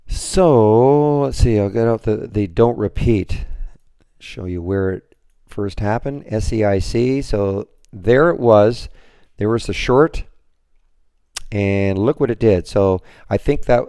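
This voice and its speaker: A hoarse man moderating a trading room, talking through his trades on a screen share in a rambling way, full of restarts and fillers. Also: fairly low pitch, dark tone, dry recording, studio quality.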